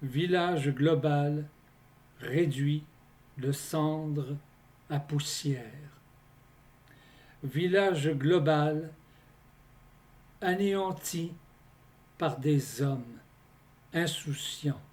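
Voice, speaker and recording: A man reciting his poems in a scripted, deliberate delivery, phrase by phrase with pauses between them. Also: on the high side, darkish tone. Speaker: poet reading own poems. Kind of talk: slow scripted poetry recitation.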